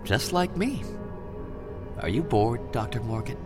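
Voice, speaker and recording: A man's voice: mid-range voice, very animated, a little slow, over loud music. A man acting a sinister, swooping mysterious benefactor. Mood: probing, ominous. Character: sinister, enthusiastic, mysterious male benefactor, slightly weird. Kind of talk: audio drama acting.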